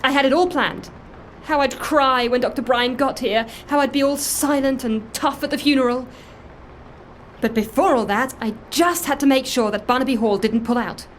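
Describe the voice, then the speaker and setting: A woman's voice: high-pitched, moderate pace, slight background noise, lightly acted. An actress playing an earnest, guilt-ridden woman who confesses and justifies herself in a matter-of-fact way, in naturalistic audio drama. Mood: matter-of-fact, confiding. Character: earnest, guilt-ridden woman secretly running a dead man's life. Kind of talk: audio fiction drama acting, naturalistic dialogue.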